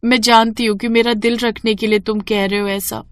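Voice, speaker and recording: A woman's voice: a little high, flat delivery, normal speed, no room sound, lightly acted. A woman voicing a meek young daughter-in-law in a cartoon story, polite and plaintive.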